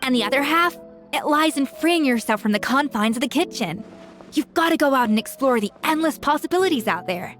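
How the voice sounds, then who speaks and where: A calm, poised chef. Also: female voice, high voice, faint music behind. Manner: calm, measured and articulate. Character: poised adult female chef, thoughtful. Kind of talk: video game voice acting.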